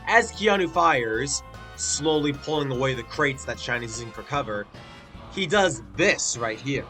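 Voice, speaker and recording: A man's voice: mid-range voice, animated, a little slow, pushed and bright, soft background music. A man giving a casual spoken review with a stuffy, congested nose, chatty and full of filler words. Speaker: video reviewer.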